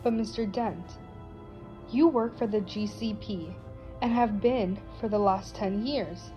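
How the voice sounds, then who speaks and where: A mellow female judge, played by a woman, formal and stern in an amateur superhero radio drama. Her pitch is average, and quiet music sits behind her. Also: unhurried, close to an everyday voice. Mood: formal, disbelieving.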